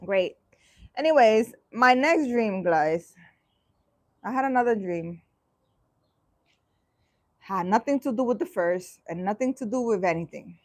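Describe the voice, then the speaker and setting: A woman's voice: mid-range voice, animated, measured pace, long pauses, darkish tone, dry recording. A woman reading a dream interpretation aloud and breaking off with sarcastic, exasperated comments. She swears casually and often restarts her sentences. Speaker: spiritual vlogger.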